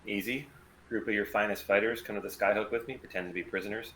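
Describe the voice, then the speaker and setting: A man playing a calm, defiant rogue pilot with a plan in a sci-fi table read. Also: fairly low pitch, fast, close to an everyday voice, low-quality recording. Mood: cool, assured.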